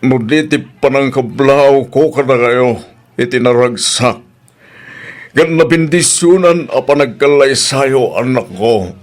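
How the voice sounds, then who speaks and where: Slow-spoken solemn father played by a man. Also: medium pitch, natural voice. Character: solemn father addressing his grown son. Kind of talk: Ilocano radio-style drama acting.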